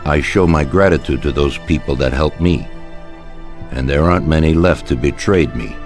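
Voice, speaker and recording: An extremely deep-voiced older crime boss for a video game. He speaks with measured authority and quiet menace, as someone used to being obeyed. Soft music plays behind him. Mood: stern, commanding. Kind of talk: video game cutscene acting.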